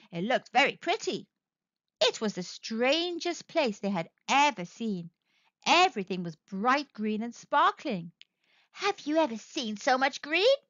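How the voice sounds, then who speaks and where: A high, bright woman's voice narrates a children's read-aloud story. She is very animated in her storytelling. The recording is dry and a little dull. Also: dry recording.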